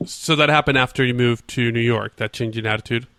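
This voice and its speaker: A man's voice: mid-range voice, steady tone, fairly quick, sharp tone, clear, resonant tone, no echo, studio quality. A man hosting a podcast, asking curious follow-up questions with a few stumbles. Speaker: podcast host, interviewer. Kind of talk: casual interview.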